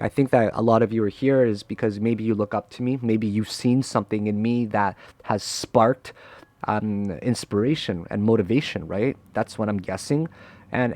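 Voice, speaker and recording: A man's voice: on the low side, a little mellow. A young man, a crypto trader and channel host, giving a candid motivational talk to camera, frank and emotional, swearing freely and often tagging "right?".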